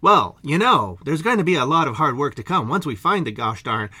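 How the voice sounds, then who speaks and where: A man's voice: a little high, sing-song, brisk, sharp tone, husky, no echo, exaggerated voice. A man voicing a pompous, gung-ho male hunter who talks in a posh, old-fashioned way. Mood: blustering.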